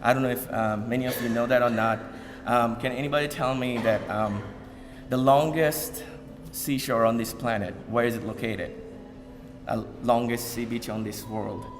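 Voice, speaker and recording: Halting man speaking in a reverberant hall. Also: mid-range voice, steady tone, over music. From Bangladesh. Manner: halting, many fillers and repeated starts. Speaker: community speaker. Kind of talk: informal public talk to an audience. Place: event hall.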